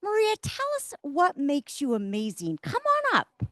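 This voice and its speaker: Lively upbeat woman running the team meeting, dry sound. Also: sits high, unhurried, no room sound. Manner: upbeat and encouraging. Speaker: meeting leader.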